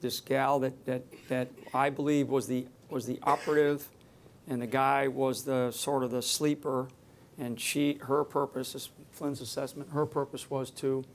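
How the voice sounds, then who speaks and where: A man's voice: mid-range voice, level tone, measured pace, slight background noise. A man speaking off the cuff to a live audience from a stage, emphatic and rambling, often restarting his sentences. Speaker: public speaker on security. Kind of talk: unscripted talk to a live audience.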